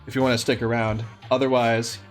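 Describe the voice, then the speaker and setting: A man's voice: medium pitch, flat delivery, fairly quick, soft background music. A man hosting a livestream, chatting casually and affectionately with his viewers. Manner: affectionate, cooing to a pet. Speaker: livestream host.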